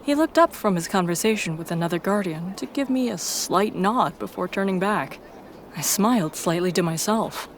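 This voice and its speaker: Female story narrator, lower register, scripted first-person read, slight background noise.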